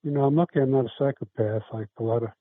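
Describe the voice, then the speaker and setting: A man's voice: mid-range voice, dry recording. Older new guy rambling his life story, lots of restarts, tinny dry phone-ish sound. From South Brooklyn, New York. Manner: rambling, halting, many restarts and fillers. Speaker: interview guest. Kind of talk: casual life-story interview.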